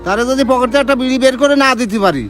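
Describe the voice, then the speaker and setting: Man acting a very high-voiced stubborn old village father. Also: racing, over music. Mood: defiant. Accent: rural Bangladeshi. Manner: argumentative, earthy. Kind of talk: amateur Bengali short drama acting.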